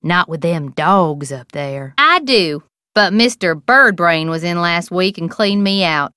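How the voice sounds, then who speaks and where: A small-town bait shop keeper in a video game. She has a rural American accent and a smooth, clear voice, recorded dry. Her delivery is folksy and gossipy, full of wry, opinionated drawl. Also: on the low side, pushed and bright, no room sound. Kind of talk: video game character dialogue.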